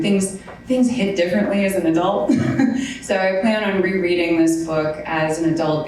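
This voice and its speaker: A woman speaks informally to a public gathering as a state representative. Her voice is low, and it reaches the mic from far off in a very echoey space with faint noise. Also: darkish tone. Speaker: state representative giving a speech. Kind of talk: informal public speech at a dedication event.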